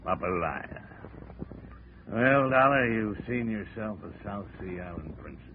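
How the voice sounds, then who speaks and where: Ruthless gangster, sneering, barking orders, lively pitch, muffled old radio. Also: male voice, unhurried. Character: ruthless armed male gangster, hostage taker. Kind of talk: 1940s radio acting. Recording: dull 1940s radio broadcast with noise.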